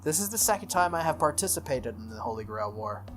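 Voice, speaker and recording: A man's voice: on the high side, sing-song, quick pace. A man voicing a stoic, dutiful young female sword-wielding servant from a visual novel, formal and matter-of-fact. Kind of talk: visual novel character read-aloud. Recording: music under the voice.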